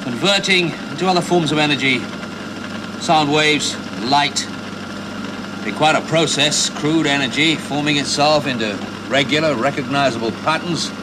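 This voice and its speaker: An actor plays a driven male team leader who talks briskly and bluntly and pushes the others along. His voice sits a touch high. The old TV soundtrack hisses. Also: close to an everyday voice. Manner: brisk, commanding, persuasive. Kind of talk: 1970s television drama acting.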